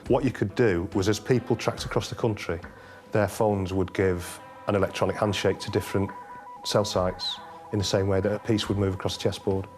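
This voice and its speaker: A man's voice: lowish voice, fairly even intonation, a little fast. A man recalling police work in an unscripted interview, matter-of-fact and colloquial like a detective.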